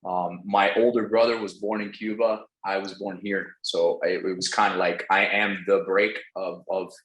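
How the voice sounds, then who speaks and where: A native Spanish-speaking man with a slightly low voice talks informally as an interview guest. He uses slang and curses as he tells stories, in a roomy, echoing recording. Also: little pitch movement, normal speed, fairly bright tone. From Venezuela. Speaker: podcast guest, real estate entrepreneur. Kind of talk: casual interview storytelling.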